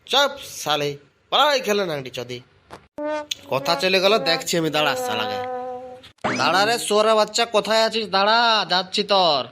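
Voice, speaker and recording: A man's voice: high voice, animated, a little fast, a crowd in the background. A man voicing an angry, threatening father in an amateur comedy cartoon, scolding in a crude, blustering way. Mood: furious. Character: angry, threatening father chasing his wayward son.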